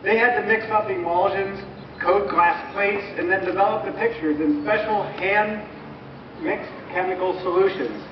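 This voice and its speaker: A man's voice: high-pitched, steady tone, a little slow. A man giving a scripted educational presentation to a live audience. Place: large room at a live event, far microphone. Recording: echoey, distant, muffled, noisy live recording.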